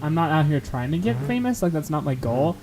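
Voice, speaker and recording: Brief: streamer voice, average pitch, rambling and self-mocking, car game noise underneath. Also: fairly quick, gravelly. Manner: rambling, self-deprecating, laughs often. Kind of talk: casual chat while playing a game. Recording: steady game noise behind. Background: car game sounds.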